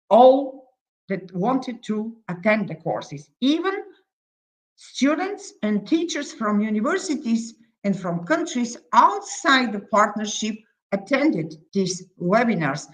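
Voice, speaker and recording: A woman with a Bulgarian accent gives a conference presentation at a middle pitch. She speaks as a project coordinator, halting and repeating words as she stresses her points. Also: poor audio.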